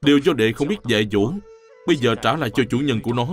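A man plays an upright young scholar in a historical cartoon. He talks quickly in a formal, humble and earnest way, over faint music. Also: mid-range voice, natural voice. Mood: apologetic. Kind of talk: animated historical cartoon dubbing.

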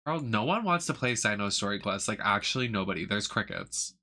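A young adult streamer, chatting casually in a campy, playful, drawn-out way. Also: medium pitch, lively intonation, bright, forceful tone. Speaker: game streamer. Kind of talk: casual livestream commentary. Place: indoor streaming setup.

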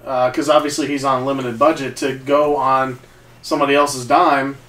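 A man's voice: mid-range voice, flat delivery, moderate pace, a bit bright. A man chatting casually with friends in a small home den, telling stories with lots of fillers. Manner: rambling storyteller, many fillers. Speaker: co-host. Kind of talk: casual chat. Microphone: far camera mic. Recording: echoey room from far off.

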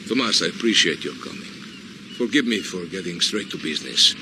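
An actor playing a suave middle-aged colonel with a Latin American accent, florid, theatrical and courteous, in a video game. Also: male voice, deep voice, animated, a little fast, background noise. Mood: businesslike, courtly. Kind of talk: video game cutscene acting.

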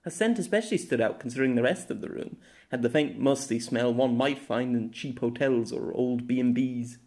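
A man narrating his own fantasy novella as an amateur audiobook, telling it in an expressive storytelling manner. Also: mid-range voice, fairly quick.

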